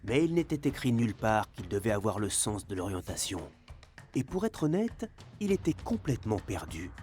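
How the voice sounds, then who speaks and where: An actor narrating a game's epic tale in a dramatic scripted storytelling style with wry humour. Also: male voice, lowish voice, very animated, normal speed, a little mellow, faint music behind.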